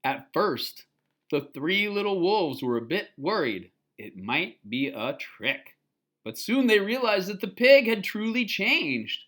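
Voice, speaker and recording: A storybook reader giving an expressive children's read-aloud, pausing to explain and ask questions. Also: medium pitch.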